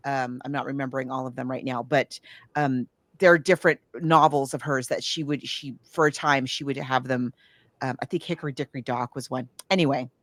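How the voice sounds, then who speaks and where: A woman chatting casually about books and films, animated and halting as she searches for details while recounting. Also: very deep voice, no echo. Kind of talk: casual book and film discussion.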